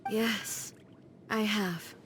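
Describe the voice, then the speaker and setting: Grave, regal lightning goddess. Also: female voice, mid-range voice, steady tone, a bit bright, faint music behind. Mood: quietly resigned. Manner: grave, measured, formal declamation. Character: solemn, proud female lightning deity ruling a nation. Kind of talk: dramatic video game voice acting.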